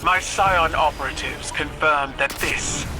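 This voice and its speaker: A man's voice: fairly high pitch, a little slow, lightly acted. A man playing a businesslike, commanding military ally giving orders in a video game. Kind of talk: video game mission dialogue. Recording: music and gunfire behind the voice.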